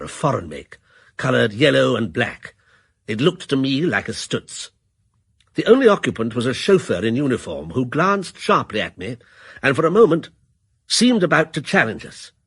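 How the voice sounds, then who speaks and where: Persuasive banker, husky and gravelly, lively pitch, dry sound. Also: male voice, no echo, exaggerated voice. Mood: narrating. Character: middle-aged male banker, smooth and persuasive. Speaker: narrator and character. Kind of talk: radio drama.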